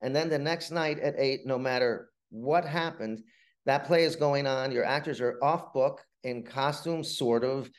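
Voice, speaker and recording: Halting middle-aged man telling stories. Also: mid-range voice. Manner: halting, rambling anecdotes with frequent repeated words. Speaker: playwright, interview guest. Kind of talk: conversational interview storytelling.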